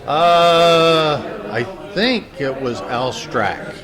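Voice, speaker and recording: An older man answering interview questions in a casual, halting way as he searches his memory. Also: fairly high pitch, animated. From upstate New York, United States. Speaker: interviewee, retired plant's former engineer. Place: indoor social gathering. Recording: busy room with crowd chatter.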